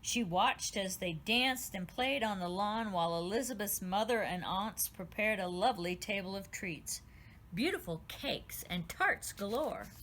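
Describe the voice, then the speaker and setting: A woman's voice: medium pitch, unhurried, slight background noise. Woman reading a storybook. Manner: gentle, expressive storytelling. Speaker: author reading her book. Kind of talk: storybook read-aloud.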